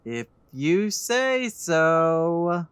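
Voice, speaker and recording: A man giving casual let's play commentary in low-key, muttered asides. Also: very animated, extremely slow, fairly bright tone, smooth, dry recording.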